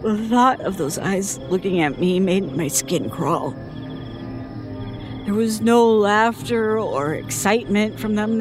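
A haunted, shaken woman played by an actress tells of a childhood nightmare in an audio drama. She speaks haltingly, at a middle pitch, over clearly audible music. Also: close to an everyday voice. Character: shaken woman recounting a traumatic childhood ordeal. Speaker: interviewee.

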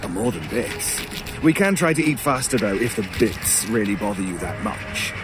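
A man's voice: fairly low pitch, expressive, normal speed, over loud music, lightly acted. A man playing a wry, teasing talking crow in an audio drama, with an arch, genteel, theatrically polite manner. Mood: teasing, unruffled. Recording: clearly noisy bed of bird sounds. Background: crows cawing, outdoor ambience.

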